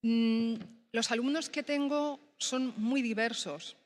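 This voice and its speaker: A woman whose first language is Spanish, a design teacher speaking on a summit panel in a thoughtful, halting way with many fillers. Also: on the high side.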